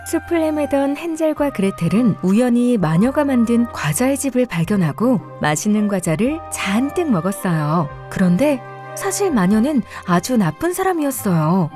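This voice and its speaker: A woman's voice: on the high side, warm tone. A woman narrating scripted fairy tales as a storyteller for children. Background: faint music.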